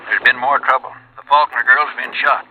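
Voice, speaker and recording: An actor playing a brisk, wry sheriff in a 1950s radio drama, clipped and urgent, heard through a telephone filter. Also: male voice, mid-range voice, fairly even intonation. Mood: grim, urgent. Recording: muffled, noisy filtered vintage radio.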